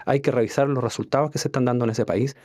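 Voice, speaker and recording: A man with a Chilean accent, an academic guest on a radio discussion, speaking in a measured, analytical way with some hesitations. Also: mid-range voice, steady tone, darkish tone. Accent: Chilean Spanish. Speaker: political science academic guest. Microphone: studio microphone.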